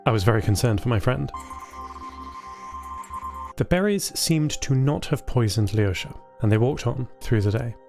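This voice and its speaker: A man's voice: mid-range voice, animated, moderate pace, vocal fry, pauses often, a little mellow. A man narrating a scripted first-person science fiction story as a thoughtful, caring artificial intelligence. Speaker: narrator of an audio fiction podcast. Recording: voice over a music bed.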